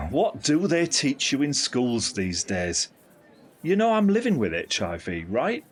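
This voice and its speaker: An actor playing a candid, caring British uncle in his fifties in an audio drama, with a husky voice. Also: medium pitch, big pitch swings, slow pace. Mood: frank. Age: 50s. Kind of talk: audio drama acting, conversational monologue.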